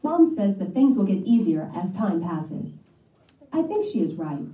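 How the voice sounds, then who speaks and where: A woman reading aloud a scripted first-person children's story in a soft-toned voice. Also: medium pitch, sing-song, a little slow. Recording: echoey playback heard from a distance.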